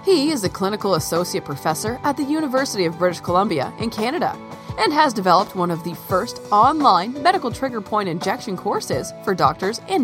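A woman reading a scripted podcast introduction in a polished announcer manner. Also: mid-range voice. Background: music bed.

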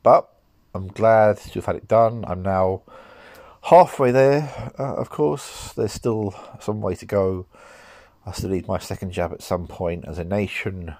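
A deep-voiced man in middle age, sounding nasal and a little worn from a cold. He talks in a measured, rambling way, often correcting himself as he goes. The recording is dry and close, with no room sound. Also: darkish tone, no room sound. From United Kingdom. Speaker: podcast host. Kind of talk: solo podcast monologue.

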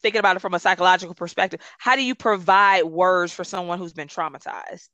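An emphatic, passionate speaker whose first language is Gullah, talking in a bright, forceful voice. The sound is dry and close, with no room in it. They press their points firmly, then slow down to think them through. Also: medium pitch, fairly even intonation, no room sound, dull audio. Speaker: guest, consultant. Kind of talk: conversational interview.

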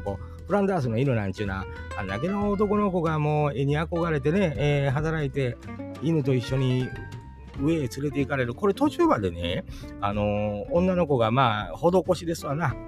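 A man's voice: medium pitch, brisk. Chatty Kansai man over guitar music. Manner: chatty, rambling, lively. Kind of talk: casual monologue.

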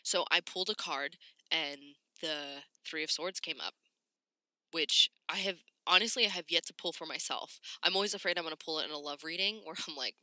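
A woman talks casually and frankly, as a podcast host would, with slang and swearing. Her voice is very bright and forward, with a slight rasp and the congestion of a cold. The sound is dry, with hardly any room on it. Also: low-pitched, brisk, no echo. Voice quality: slightly raspy. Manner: candid, informal, sweary, slangy. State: congested, getting over a cold. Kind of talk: casual solo podcast talk.

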